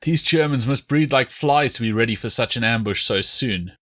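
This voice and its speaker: A man's voice: medium pitch, normal speed, fairly bright tone, close to an everyday voice. A man reading the part of an ambitious, scoffing Roman cavalry general in an audiobook. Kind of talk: audiobook character voice.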